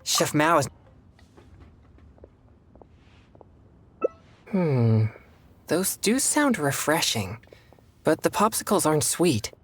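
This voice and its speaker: A man voicing a reserved teenage boy exorcist in a video game, earnest, measured and formal in manner. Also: on the high side, sing-song, fairly bright tone, slight background noise. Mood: hesitant, polite.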